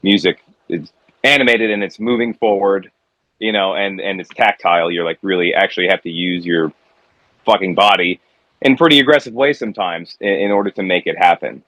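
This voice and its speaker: A man from the United States, a guest musician, talking in a reflective, halting way with many restarts. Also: low-pitched, fairly bright tone, no echo. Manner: reflective, halting with repeated starts. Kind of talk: casual conversation.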